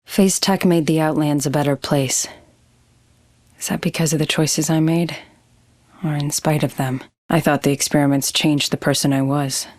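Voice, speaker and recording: A woman's voice: low voice, steady tone, normal speed, a little mellow, no echo, lightly acted. An actress playing a haunted, skeptical woman fighter who speaks tersely and broods. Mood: brooding.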